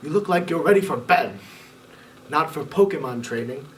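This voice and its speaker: A man voicing an old male professor in a storybook reading, measured and knowing in tone. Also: a little high, expressive, slight background noise, voice pushed down, close to an everyday voice. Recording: voice a bit far from the mic.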